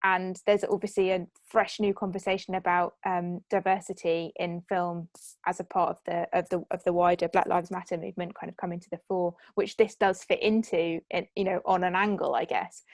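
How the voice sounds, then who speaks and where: A woman's voice: lowish voice, little pitch movement, a little fast, no room sound. A woman moderating a conversational panel Q&A, phrasing her questions in a thoughtful, roundabout way. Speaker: moderator, film producer hosting a Q&A.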